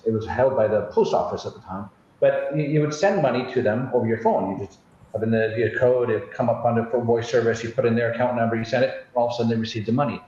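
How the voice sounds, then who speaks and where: A man's voice: medium pitch, darkish tone, a little hiss or hum. A man from Zimbabwe shares childhood stories on a tech panel, full of "uh" fillers. His voice sits in a mid range, and the recording is very roomy and muffled. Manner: anecdotal, with many "uh" fillers. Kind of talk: panel discussion, storytelling. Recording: very echoey, dull-sounding room mic.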